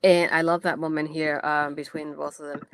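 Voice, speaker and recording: Woman host, low voice, chatty and enthusiastic, non-native English, dry close sound. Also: level tone, normal speed, smooth, no echo. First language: not English. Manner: chatty and enthusiastic, many fillers. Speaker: post-show host. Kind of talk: casual wrestling event recap.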